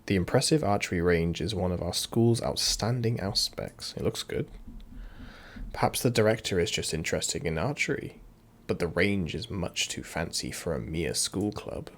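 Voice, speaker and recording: A man's voice: low-pitched, animated, normal speed. Man reading a visual novel aloud, jokey. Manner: playful, jokey reading with sound-effect asides. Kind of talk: reading translated lines aloud with asides. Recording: voice mixed with loud game music. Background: loud music.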